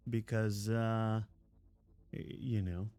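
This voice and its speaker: A man's voice: on the low side, level tone, slow, warm tone, smooth. A man from Texas chatting casually on a live game stream, rambling and self-deprecating with frequent chuckles. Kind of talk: casual chat while gaming.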